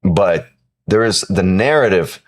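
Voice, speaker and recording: A man's voice: low voice, lively intonation, unhurried. A man from Ukraine hosting a live stream, talking casually and emphatically while answering chat, often repeating himself and adding "right?". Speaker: live-stream trading host.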